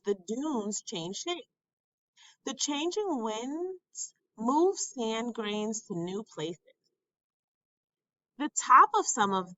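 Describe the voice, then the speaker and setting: A woman reading a children's science book aloud with deliberate, emphatic phrasing, like a teacher for young learners. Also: mid-range voice, pushed and bright, dry recording, dull audio. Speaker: school staff member reading a textbook aloud.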